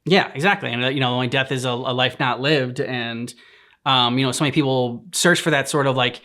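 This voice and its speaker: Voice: medium pitch, steady tone, brisk, bright, forceful tone. A reaction show co-host chatting casually and reflectively, searching for words in a hesitant manner.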